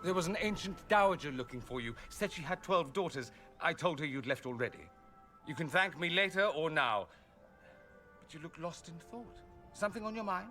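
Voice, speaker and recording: An actor playing a witty, flirtatious, suave companion in a video game, with playful, dry teasing. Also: male voice, on the high side, expressive, a little fast, sharp tone, faint music behind. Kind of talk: video game cutscene acting.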